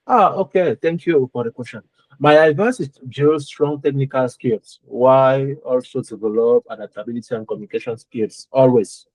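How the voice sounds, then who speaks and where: Cameroonian man, French accent, mellow. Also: mid-range voice, dry recording, poor audio. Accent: French-speaking Cameroonian. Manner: careful, searching for words, repeats phrases. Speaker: architect guest. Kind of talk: podcast interview answers.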